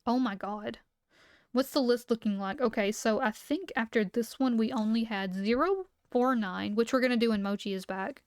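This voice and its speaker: A woman streamer with a fairly high voice reads entries aloud and then chats casually between them. She sounds slightly hoarse, as if she has been talking for a long time. The close mic at her home setup keeps the sound dry. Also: normal speed, dry recording. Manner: skips text with "blah, blah" asides. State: losing her voice. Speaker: livestreamer.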